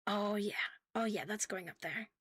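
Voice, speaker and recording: A woman chatting casually to viewers on an ASMR livestream, soft and breathy with a playful, cutesy manner, often dropping to a whisper close to the mic. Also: medium pitch, moderate pace, background noise. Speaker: ASMR live streamer hosting in a virtual world. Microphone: close, sensitive streaming microphone.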